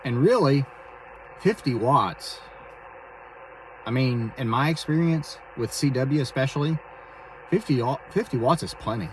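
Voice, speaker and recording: A man talking casually and haltingly as he explains his amateur radio hobby in a vlog from inside a vehicle. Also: medium pitch, animated. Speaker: amateur radio operator and video host. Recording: distant mic, clear vehicle and radio noise.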